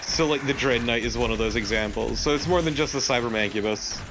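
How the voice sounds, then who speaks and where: A man's voice: medium pitch, fairly quick, background noise. A man bantering casually as a co-commentator over gameplay. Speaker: let's play co-commentator.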